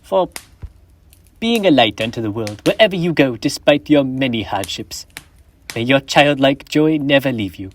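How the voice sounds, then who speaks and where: A man's voice: mid-range voice, animated, normal speed, natural voice. A teenage boy playing a reformed, now generous old miser in a school stage play, speaking earnestly with formal old-fashioned phrasing. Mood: kind. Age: teens.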